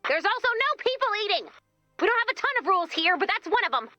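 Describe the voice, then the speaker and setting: A chirpy, quirky female commander from a video game. Her voice is very high, bright and animated, with a playful, doting way of talking. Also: fast, no echo, soft background music, full character voice. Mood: firm but playful.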